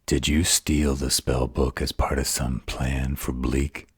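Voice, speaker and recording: A man's voice: extremely low pitch, flat delivery, slow pace, breathy edge, dry recording, studio quality, exaggerated voice. A man playing a snarky, distrustful supernatural fighter in an audio roleplay, with a mocking drawl. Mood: suspicious.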